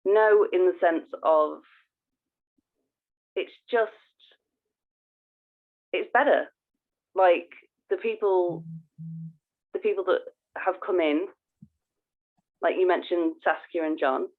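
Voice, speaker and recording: An effusive podcast co-host in casual conversation, with a mid-pitched voice. The talk comes as thinking aloud, heard through thin, narrow-band call audio. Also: slow, long pauses.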